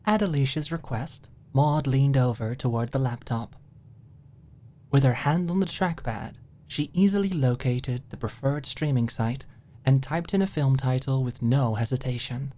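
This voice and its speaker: A woman's voice: a bass voice, moderate pace, dark tone. A woman narrating a scripted audiobook in an ASMR style.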